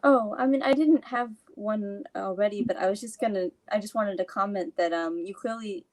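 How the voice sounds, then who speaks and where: A woman's voice: medium pitch, normal speed. A woman co-moderating a discussion, offering casual, somewhat hesitant conversational comments.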